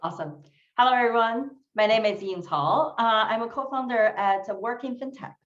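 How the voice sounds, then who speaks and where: A woman's voice: on the high side, moderate pace. Woman panelist, earnest with fillers; far from the mic in a roomy space, dull lo-fi video-call sound. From China. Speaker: panelist, fintech founder. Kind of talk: panel self-introduction.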